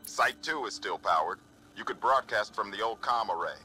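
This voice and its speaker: Low, forceful sci-fi outpost leader. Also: male voice, animated, normal speed, faint music behind, low-quality recording. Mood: wary. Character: grave, worried male sci-fi outpost leader. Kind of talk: video game voice acting.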